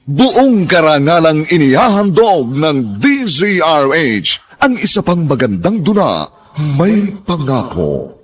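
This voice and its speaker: A man's voice: fairly high pitch, big pitch swings, slow pace. Man with a grand, solemn narration. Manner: grand, solemn, poetic delivery. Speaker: program narrator. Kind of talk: dramatic scripted narration.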